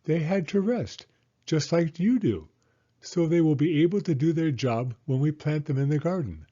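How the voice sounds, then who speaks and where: Man voicing a lilting old village grandmother, high-pitched. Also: lively intonation, moderate pace, dark tone, muffled recording. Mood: gentle, instructive. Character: kindly old Italian woman, orderly village healer and gardener.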